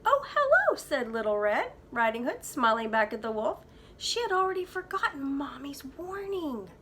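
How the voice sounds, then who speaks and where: A woman's voice: sits high, animated, moderate pace, fairly bright tone, a little hiss or hum. A woman preschool teacher reading a storybook aloud to young children, with dramatic emphasis.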